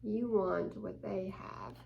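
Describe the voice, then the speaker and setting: A woman reading a picture book aloud to children as a story time host, expressively and deliberately, explaining things simply. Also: medium pitch, gravelly, muffled recording. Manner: slow, deliberate, acting out quoted lines. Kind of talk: expressive children's read-aloud with asides to listeners.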